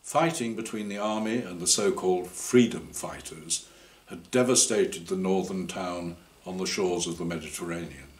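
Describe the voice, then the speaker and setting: An older man reading his short story aloud in a measured, deliberate way, indoors. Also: low-pitched. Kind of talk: scripted story narration. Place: indoor room before a banner. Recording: roomy indoor echo.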